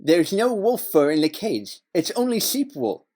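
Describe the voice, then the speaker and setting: A man's voice: sits high, very animated, unhurried, warm tone, heavily acted. A man playing a sarcastic, competitive detective's partner in an audio drama, with a teasing manner. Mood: sardonic. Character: snarky, competitive male detective sidekick.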